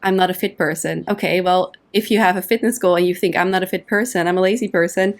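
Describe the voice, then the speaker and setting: A woman's voice: on the low side, fairly even intonation, quick pace. A woman from the Netherlands speaks as a coaching guest on a podcast. She explains ideas patiently and casually. She slips into short acted-out inner voices to make her examples vivid. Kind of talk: casual interview conversation.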